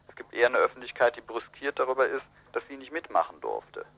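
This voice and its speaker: A man's voice: medium pitch, wildly swooping pitch, fast, creaky, husky. A man giving analytical, discursive political commentary as a guest in a radio interview. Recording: roomy, muffled radio interview.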